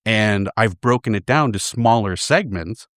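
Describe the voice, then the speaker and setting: An American man talks as a guest in a relaxed studio interview, telling stories in an everyday conversational way. His voice is slightly low, and the sound is close and dry. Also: a little slow, smooth, no echo. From Boston, USA. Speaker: radio theater founder, guest. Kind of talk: casual interview talk, anecdotal. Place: radio studio.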